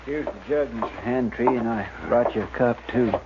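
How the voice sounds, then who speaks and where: An actor playing an anxious, deferential deputy with a drawl, folksy and hesitant, in a western radio drama. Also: male voice, medium pitch, normal speed, natural voice. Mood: helpful. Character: earnest, anxious, simple-hearted deputy sidekick, deferential. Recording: dull, noisy old radio recording.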